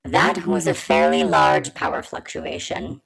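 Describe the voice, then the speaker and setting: A woman playing a cautious holographic computer entity in an audio drama, formal, measured and wary. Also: low voice, sing-song, gravelly, crowd noise, exaggerated voice, poor audio.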